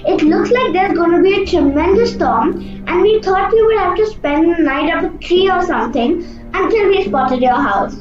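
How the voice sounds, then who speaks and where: Woman as a young girl, very high lively voice, nervous, radio drama, echoey room. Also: moderate pace. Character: polite, nervous young girl lost in forest. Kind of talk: amateur radio play acting. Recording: echoey, dull audio with music. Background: music.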